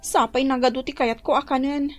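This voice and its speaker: A woman's voice: sits high, fairly even intonation, a little fast, soft background music, natural voice. A woman playing a whiny, demanding young pregnant girlfriend in a radio drama, with an Ilocano Filipino accent. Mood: whiny craving.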